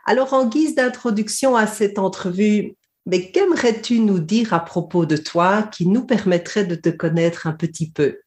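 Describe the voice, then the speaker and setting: A woman hosting a podcast, asking her guest polite, measured questions. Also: medium pitch, darkish tone. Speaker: podcast host. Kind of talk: interview questions.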